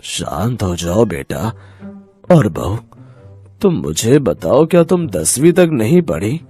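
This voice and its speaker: A man voicing a calm, grave elderly father-in-law with a slow, measured delivery in a cartoon story. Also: on the low side, soft background music. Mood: calm, questioning.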